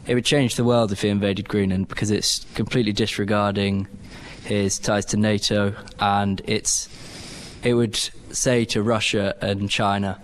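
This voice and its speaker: Thoughtful young man from England, low voice. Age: teens. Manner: thoughtful, halting argument. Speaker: student guest.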